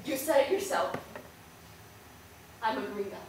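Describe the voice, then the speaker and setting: A woman's voice: medium pitch, lively intonation, a little slow, natural voice. A woman playing an earnest young Puerto Rican-American in a stage play, speaking dramatically and emphatically. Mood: reluctant, defiant. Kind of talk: theatrical stage acting. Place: indoor stage, recorded from far off. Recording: roomy, distant stage recording, faint noise.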